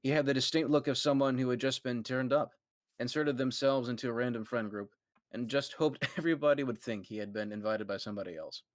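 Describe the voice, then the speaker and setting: Brief: man, mid-pitched, reading fan fiction to friends on stream, casual and conversational, dry close mic. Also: dry recording. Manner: quick, conversational reading, laughing asides. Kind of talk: read-aloud on a live stream with friends.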